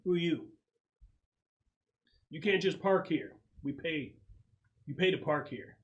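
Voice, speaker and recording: Casting: man as hostile, twitchy door-guard thug for a tabletop game, territorial, pitched up and barking. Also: animated, slow pace, lo-fi sound. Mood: hostile, challenging. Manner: belligerent, curt questioning.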